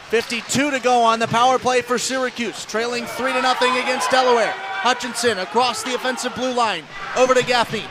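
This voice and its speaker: An American man calling live ice hockey play-by-play as a radio announcer. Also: very high voice. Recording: clear rink noise behind commentary. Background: ice hockey game noise.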